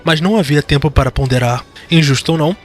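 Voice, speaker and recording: A man's voice: a little high, fast talker. A man with a Brazilian accent giving dramatic scripted narration for a horror fantasy audio drama. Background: music.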